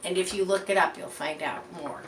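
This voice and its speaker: A woman reading a picture book aloud to children in an expressive, teacherly way, pausing to explain and point things out. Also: lowish voice. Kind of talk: read-aloud storytelling with explanatory asides. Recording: roomy echo, distant mic, faint background noise.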